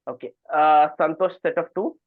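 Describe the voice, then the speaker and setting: Man, quizmaster, high-pitched, phone-like narrowband audio, reading out questions. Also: moderate pace, no echo. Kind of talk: reading quiz questions aloud to contestants.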